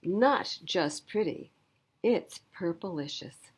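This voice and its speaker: A woman's voice: fairly low pitch, lively intonation, slow, husky, exaggerated voice. A woman voicing a confident, upbeat young schoolgirl painter in a storybook, speaking with assured emphasis. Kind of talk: storybook character reading.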